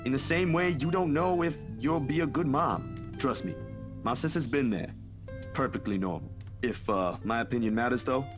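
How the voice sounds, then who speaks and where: A man's voice: medium pitch, normal speed, over music, natural voice. An actor playing an easygoing, teasing young male photographer in a visual novel, talking casually and reassuringly to a friend.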